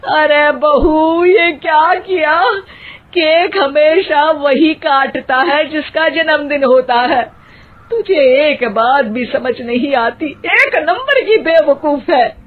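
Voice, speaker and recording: Lady doing a naggy cartoon indian mother-in-law, highish voice, dramatic scolding, phone-like audio. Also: slow. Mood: dismayed, scolding. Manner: nagging, dramatic complaining. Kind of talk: Hindi moral-story cartoon dialogue. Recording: muffled, narrow phone-like sound.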